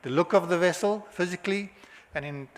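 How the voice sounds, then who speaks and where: A South African man presents at a conference in a hotel hall, his fairly high voice trailing into long reverb. He talks haltingly, stopping often on filler sounds and restarting his phrases. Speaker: conference speaker.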